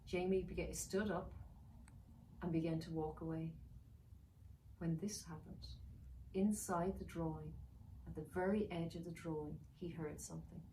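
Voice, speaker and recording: A woman's voice: low voice, fairly even intonation, normal speed, long pauses, dark tone. Woman reading a story in an echoey room. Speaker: author reading her novel. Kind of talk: dramatic storybook narration. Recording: roomy, distant mic with faint noise.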